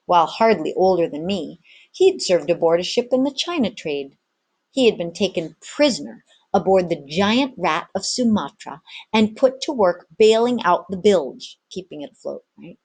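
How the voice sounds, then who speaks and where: Husky woman reading a storybook aloud. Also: mid-range voice, animated, moderate pace, darkish tone. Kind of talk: expressive storybook narration.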